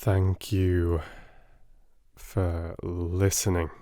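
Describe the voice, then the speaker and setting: A soft-spoken man with a British accent, gently and expressively reading a storybook as an audiobook narrator. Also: deep voice, level tone, very slow, no room sound, clean studio sound.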